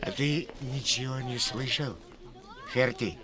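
Actor as a slow-talking, blunt village watchman. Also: mid-range voice, crowd noise, close to an everyday voice. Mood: matter-of-fact. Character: blunt, mocking old village school watchman. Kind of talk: 1960s Soviet radio drama acting.

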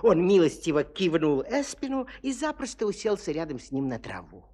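Animated, high-pitched husky male storyteller. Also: normal speed. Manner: confiding, playful storytelling, addressing listeners. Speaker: narrator. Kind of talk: expressive children's fairy-tale narration.

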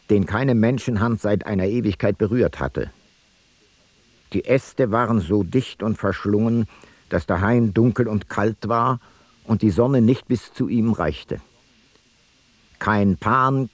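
Low-voiced ancient seafarer, roomy. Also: male voice, a little mellow. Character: ancient male seafarer recounting his expedition logbook. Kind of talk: scripted literary radio-play narration. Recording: roomy sound with music. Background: music.

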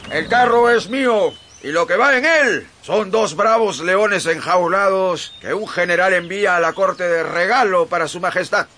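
Bright, high-voiced brash cart driver. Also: male voice, normal speed, a little hiss or hum. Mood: proud. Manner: emphatic, theatrical, archaic speech. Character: brash, excitable lion keeper and cart driver. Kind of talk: radio drama acting.

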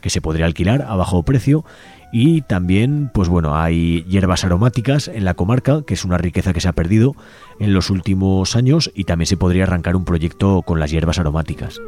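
A man presenting a community radio show, reading out a manifesto and describing a project in a measured, slightly halting way. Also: sits low, animated, mellow, soft background music. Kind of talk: community radio presentation, partly read aloud.

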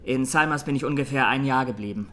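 An actor playing a young Armenian massacre survivor giving halting, sombre testimony in a radio drama. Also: male voice, medium pitch, a bit bright, lightly acted. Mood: sober recounting.

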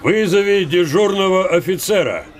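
An elderly military commander in a radio play. The voice is raised a little in pitch, and every phrase comes out curt and imperious, as orders to subordinates. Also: male voice, animated, moderate pace. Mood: curt, commanding. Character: old male field marshal, commanding, curt, imperious. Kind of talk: radio drama acting.

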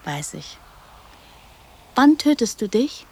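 Slow-spoken, high-voiced blunt young girl. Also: big pitch swings, darkish tone, slightly rough, full character voice. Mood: tender, then matter-of-fact. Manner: simple short sentences, blunt, childlike. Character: odd young girl, blunt, tender, matter-of-fact about death. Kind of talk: radio drama dialogue.

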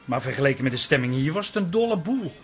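A man's voice: fairly high pitch, big pitch swings, quick pace, soft background music. An actor playing a teasing, easily exasperated brother in a Dutch radio play, sputtering and exclaiming as he talks. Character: teasing, exasperated brother, a harried wedding photographer.

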